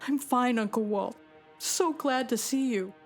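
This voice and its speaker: A woman's voice: on the high side, a little slow, faint music behind. An actress playing a plucky, guarded young woman pilot in an audio drama. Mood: relieved, wry.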